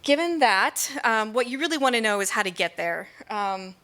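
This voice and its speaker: A woman's voice: a little high, lively intonation, normal speed, pushed and bright. A woman in her thirties with an American accent, giving an informal, self-effacing presentation as a librarian at a stage microphone. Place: indoor stage at a library event. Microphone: microphone on a stand.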